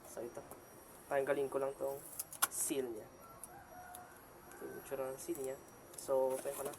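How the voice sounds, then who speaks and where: A Filipino man talks through a product in a casual unboxing style. His mid-pitched voice moves little in melody and comes haltingly, mixed with English phrases. The amateur recording carries clear background noise. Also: little pitch movement. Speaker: vlogger and product reviewer.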